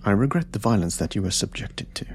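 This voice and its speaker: An actor playing a calm, formal interrogator who probes in a deliberate, controlled way in an audio drama. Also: male voice, on the low side, gravelly, slightly rough, heavily acted. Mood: measured, probing. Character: calm, measured, probing male interrogator.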